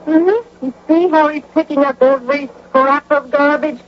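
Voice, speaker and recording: Woman acting a bossy, nagging wife, high-pitched. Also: fairly even intonation, close to an everyday voice. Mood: triumphant. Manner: nagging, brisk, imperious. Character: bossy, indignant wife and bank officer. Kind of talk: 1980s radio comedy-drama acting. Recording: dull, noisy old radio recording.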